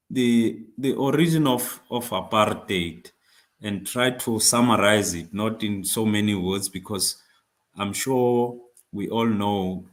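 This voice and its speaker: A man from South Africa whose first language is not English, speaking to comrades in a discussion, halting with frequent fillers and restarts. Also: on the low side. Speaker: speaker on labour politics.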